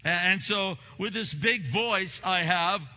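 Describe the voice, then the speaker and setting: A male pastor delivers a sermon with a big, forceful, slightly high-set voice. He swings between low, slow emphasis and loud, emphatic peaks. The sound is lo-fi and washed in the long reverb of a church hall.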